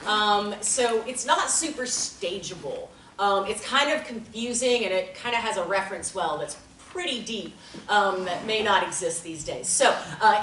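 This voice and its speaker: A woman's voice: medium pitch, fairly bright tone. A woman giving casual announcements to a theater audience, bubbly and full of fillers. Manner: bubbly, hesitant, with many fillers. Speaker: theater company organizer. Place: theater or event room. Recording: roomy, from far off, faint noise.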